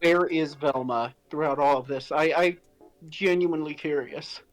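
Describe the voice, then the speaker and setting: A man's voice: fairly high pitch, moderate pace, soft background music, rough recording. A man making casual conversation with fellow readers on a stream. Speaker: co-reader on a streamed story show. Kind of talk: casual chat.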